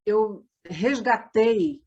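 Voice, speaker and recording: A woman's voice: medium pitch, drawn-out words, a little mellow, slightly rough, dull audio. A Brazilian woman answering interview questions in a conversational way, telling things reflectively and in detail. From Minas Gerais, Brazil. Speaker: interview guest, radio drama writer and set designer.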